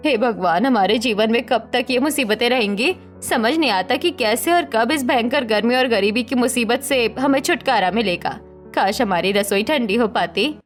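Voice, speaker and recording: A woman's voice: fairly high pitch, lively intonation, normal speed, soft background music, close to an everyday voice. A woman voicing a poor, dutiful daughter-in-law, earnest and plaintive, in a cartoon story. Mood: lamenting.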